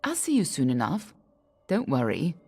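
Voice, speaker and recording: A very deep-voiced woman with a gravelly edge, playing a guarded loner in a fantasy video game. She is dry and aloof, yet her pitch moves freely. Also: wildly swooping pitch, moderate pace, darkish tone, faint music behind, full character voice. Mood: dry, reassuring. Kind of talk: dramatic video game dialogue.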